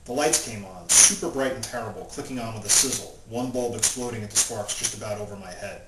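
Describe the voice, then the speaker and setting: A man's voice: lowish voice, brisk. A male author talking informally and reading his novel aloud in his own plain voice, without putting on character voices. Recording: roomy, distant mic, loud background noise.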